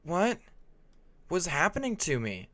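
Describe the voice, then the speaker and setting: A man voicing a troubled young male dragon in a fantasy audiobook, questioning with drawn-out, emphatic words. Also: on the high side, huge pitch swings, a bit bright, dry recording, voice pushed up, heavily acted. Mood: bewildered, anxious.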